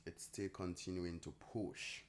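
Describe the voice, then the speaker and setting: A man with a mixed Nigerian and Italian accent, chatting casually and thinking aloud on a live trading stream, easygoing and quick to laugh. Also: deep voice, steady tone, slight background noise. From Nigeria, raised partly in Italy. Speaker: trading livestream host. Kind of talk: casual live-stream chat answering viewers.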